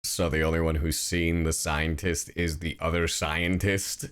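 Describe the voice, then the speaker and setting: A man streaming live reaction commentary, casual and expressive, slangy and swearing freely. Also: very deep voice, measured pace, clean tone. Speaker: streamer, host.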